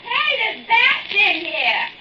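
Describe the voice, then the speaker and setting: A woman's voice: very high-pitched, sing-song, slow, full character voice. A woman with an American accent playing a flippant, headstrong young bride in a 1940s radio drama. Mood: curious, excited. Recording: noisy, muffled old radio recording.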